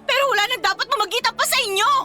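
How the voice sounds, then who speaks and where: A woman's voice: very high voice, racing, bright, forceful tone, soft background music, exaggerated voice. An actress with a Filipino accent playing a jealous, confrontational young woman in an emotional radio romance drama. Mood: tearful pleading. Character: jealous, fiery young woman, betrayed girlfriend.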